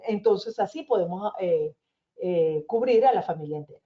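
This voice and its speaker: A woman with a Venezuelan accent, an immigration lawyer explaining visa options in a webinar in a calm, reassuring manner. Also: medium pitch, normal speed, dull audio. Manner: reassuring, explanatory, addresses listeners as señores.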